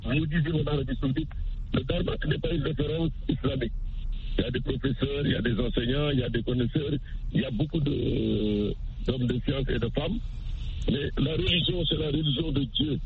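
Categorical imam on a noisy, dull line. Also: male voice, medium pitch, fairly quick. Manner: categorical, declarative short phrases. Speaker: imam, phone-in guest. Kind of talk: religious argument in a live debate.

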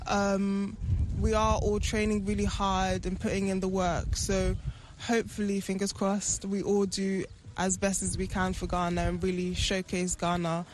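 A teenage girl with a London accent giving soft, hesitant interview answers. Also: medium pitch, level tone, measured pace. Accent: London English. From England. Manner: soft-spoken, hesitant, many fillers. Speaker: interviewed athlete.

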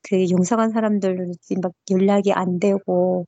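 A woman's voice: mid-range voice, fairly even intonation, moderate pace, dark tone, no room sound. North Korean woman, dull dry recording. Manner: emotional storytelling, frequent fillers and restarts. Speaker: interviewee. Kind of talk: personal recollection in an interview.

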